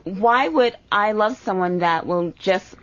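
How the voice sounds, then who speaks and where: A woman's voice: mid-range voice, measured pace, fairly bright tone. A woman guest on a talk show, answering casually and thoughtfully while searching for her words. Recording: dull lo-fi radio audio.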